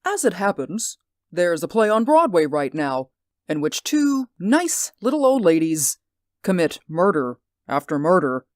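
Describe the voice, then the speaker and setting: An anxious, insistent neighbor. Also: male voice, sits high, big pitch swings, unhurried, no echo, studio quality. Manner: earnest and insistent. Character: anxious, insistent man watching a window. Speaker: worried neighbor. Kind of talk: 1940s radio acting.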